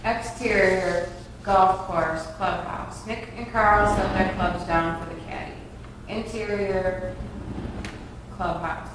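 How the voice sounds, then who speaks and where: A woman's voice: lowish voice, fairly even intonation, slow. Woman narrating a script, very echoey. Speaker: stage direction reader. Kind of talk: scripted narration at a table read. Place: reverberant room, far from mic. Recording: echoey room, distant mic, faint noise.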